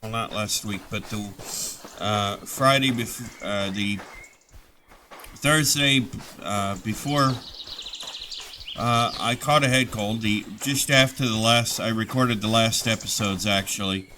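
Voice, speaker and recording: A man's voice: lowish voice, takes it slowly, bright, forceful tone, a little hiss or hum. A man hosting a gaming channel, chatting casually over his gameplay, rambling and restarting his sentences as he gets over a head cold.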